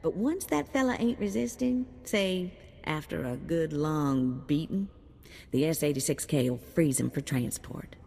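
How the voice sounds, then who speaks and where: An actress playing a folksy, easygoing bounty broker in a video game, speaking colloquially. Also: female voice, sits low, animated, measured pace, vocal fry, darkish tone, long reverb tail, a little hiss or hum, exaggerated voice. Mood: matter-of-fact, folksy. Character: folksy, easygoing female bounty broker, drawling gambler talk.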